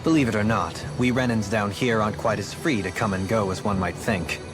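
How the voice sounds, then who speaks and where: A man's voice: on the low side, brisk, music in the background, natural voice. A man playing an earnest, composed adventurer in an English-dubbed fantasy video game. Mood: serious, explaining.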